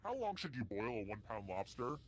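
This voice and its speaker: A man's voice: medium pitch, very animated, brisk, close to an everyday voice. An actor playing a sardonic, theatrical male host of a macabre trivia video game. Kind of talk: scripted game show hosting.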